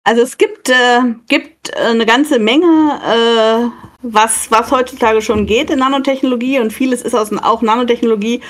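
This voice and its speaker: A middle-aged woman from Germany speaks as an interview guest. Her voice is slightly high. She answers thoughtfully, pausing often with fillers. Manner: thoughtful, hesitant with many fillers. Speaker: guest professor. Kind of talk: casual interview.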